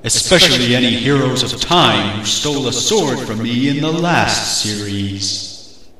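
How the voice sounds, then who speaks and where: A man's voice: mid-range voice, lively intonation, sharp tone. A man voicing a giant rock-creature guardian of a temple in a parody dub, with pompous proclamations. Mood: pompous menace. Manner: pompous, slow threatening proclamations. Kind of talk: fan parody cartoon dub. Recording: very echoey, distant from mic.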